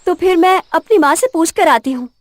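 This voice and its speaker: High-voiced sweet young cartoon heroine, acted by a woman. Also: a little fast, no echo, faint music behind. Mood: eager, curious. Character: sweet eager obedient young woman raised in forest. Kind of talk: Hindi cartoon moral story voice acting.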